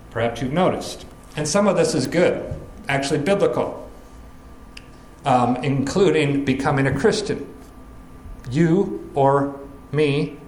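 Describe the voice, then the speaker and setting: Man, pastor preaching, earnest and measured, slightly high voice, echoey room. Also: a little hiss or hum. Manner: earnest, measured teaching with lists. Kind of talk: expository sermon.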